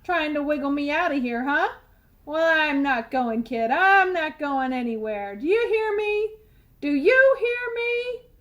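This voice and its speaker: A woman's voice: sits high, lively intonation, a little slow, fairly bright tone. A woman voicing a whiny, bossy, melodramatic talking tooth that craves sugar, in a storybook reading. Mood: defiant. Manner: pleading, melodramatic, mouthy.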